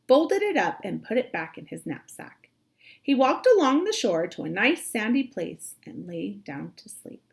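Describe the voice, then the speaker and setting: Woman, children's story narration, swooping intonation, creaky edges, dramatic emphasis. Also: a little high. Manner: animated, dramatic emphasis with drawn-out words. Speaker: teacher reading a storybook aloud.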